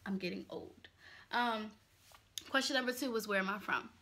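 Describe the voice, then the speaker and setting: Bright-voiced chatty young woman vlogger. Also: mid-range voice, normal speed. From Pennsylvania, US. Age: 20s. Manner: chatty, candid, expressive hand gestures. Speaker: YouTuber. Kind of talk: casual vlog chat to camera. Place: small home office room, indoors.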